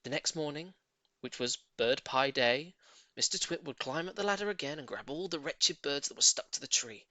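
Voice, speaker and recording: Animated male children's storyteller, bright voice. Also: fairly high pitch, normal speed, no echo. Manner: theatrical, emphatic storytelling. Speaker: audiobook narrator. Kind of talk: expressive scripted narration of a children's story.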